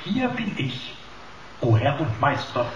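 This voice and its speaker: An actor voicing a cheeky talking computer in a radio drama, with florid, mock-servile pomposity. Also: male voice, fairly high pitch, sing-song, slow pace, muffled recording, background noise, heavily acted. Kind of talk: comic radio drama acting.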